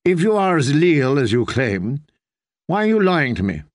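A man's voice: a little high, sing-song, normal speed, no room sound, clean studio sound. A man voicing a cold, scornful queen who interrogates and mocks in an audiobook. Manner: cutting, mocking, interrogating. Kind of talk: audiobook character voice.

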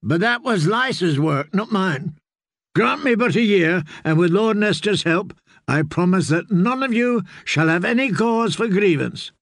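Male narrator playing scheming nobleman, audiobook fantasy, raised pitch, slow and deliberate, formal, coldly commanding. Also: lively intonation, no room sound, studio quality. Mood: forceful, defiant. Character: cunning nobleman, coldly angry, commanding, smooth in bargaining. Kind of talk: dramatic audiobook character voice.

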